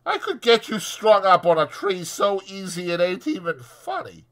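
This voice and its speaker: A man's voice: high-pitched, big pitch swings, normal speed, fairly bright tone, pitched above the performer's own voice. A man voicing a spiteful, threatening young ranch wife in rural dialect for a dramatized audiobook. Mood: menacing.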